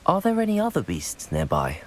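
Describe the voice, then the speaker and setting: Keen young student wizard, lively intonation. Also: male voice, on the high side, a little fast. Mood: calm, willing. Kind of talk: video game character dialogue.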